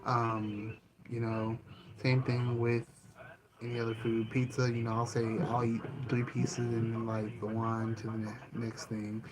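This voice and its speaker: A man hosting his own channel, answering questions about himself in a calm, easygoing way, with many ums and restarts. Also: medium pitch, measured pace, music in the background, poor audio. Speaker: channel host and author. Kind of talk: casual self-interview answering questions. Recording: noticeable background ambience noise.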